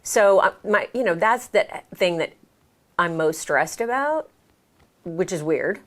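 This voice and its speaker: An American woman with a slightly low voice talks as a guest in a casual interview. She recounts her experiences in a chatty, expressive way, often acting out what was said. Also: measured pace. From United States. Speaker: interview guest, American who moved to France. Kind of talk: casual conversational storytelling.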